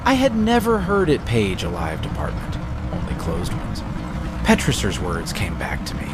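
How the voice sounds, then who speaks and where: A low male voice tells a scripted horror story in the first person. His delivery is measured and literary, in the manner of a storyteller. Music plays clearly underneath him throughout. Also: sing-song. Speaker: narrator.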